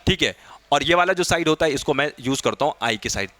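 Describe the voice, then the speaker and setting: Higher-voiced man teaching, dry sound. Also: expressive, fast talker, bright, forceful tone, dry recording. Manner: checks in often with short tag questions; mixes Hindi with English technical terms. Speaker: physics teacher for exam preparation. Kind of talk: exam-prep lesson, explaining questions step by step.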